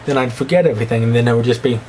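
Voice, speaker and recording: A man's voice: rapid-fire, dark tone, slight background noise. A young man with a slight lisp, talking to camera in a rambling, self-mocking vlog. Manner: rambling, self-mocking, breaks into squeaky put-on voices. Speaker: vlogger. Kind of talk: rambling casual monologue to camera.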